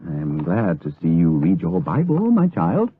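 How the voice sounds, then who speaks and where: An actor playing an outwardly pious, lustful abbot in a gothic radio drama, with an oily, hesitant, insinuating delivery. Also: male voice, low-pitched, wildly swooping pitch, normal speed, narrow, tinny audio, a little hiss or hum. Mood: unctuous, probing. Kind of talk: gothic radio drama acting with third-person narration.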